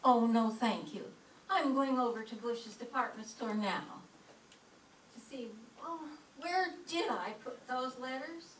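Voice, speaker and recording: A doting, absent-minded landlady, played by a woman talks fondly in old-time radio comedy style. Her voice is fairly high and slow. The recording is echoey, with faint noise. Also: lightly acted. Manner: fond, scatterbrained. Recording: distant roomy stage pickup, faint noise.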